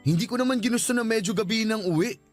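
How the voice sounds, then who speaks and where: A man's voice: high voice, fast talker, faint music behind, natural voice. A man playing a working husband and young father in a radio drama, with an emotive, insistent delivery. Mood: defensive, irritated. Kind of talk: Tagalog radio drama acting.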